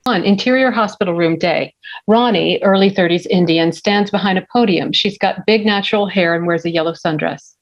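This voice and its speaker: A woman's voice: lowish voice, normal speed, dull audio. A woman reading stage directions as scripted narration for a screenplay.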